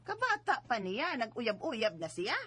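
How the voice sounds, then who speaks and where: A woman's voice: fairly high pitch, very animated, unhurried, fairly bright tone, slightly rough, full character voice. Woman playing strict worried mom in a radio drama, cebuano filipino accent, lots of exclaiming and scolding. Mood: stern, worried. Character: worried, stern middle-aged mother scolding her daughter. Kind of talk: Bisaya radio drama acting.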